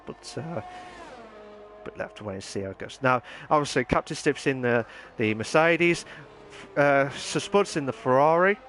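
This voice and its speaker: A man commentating a live sim racing league, rambling and excitable, voice wearing hoarse from shouting. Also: a little high, slight background noise. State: voice going hoarse. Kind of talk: live esports race commentary.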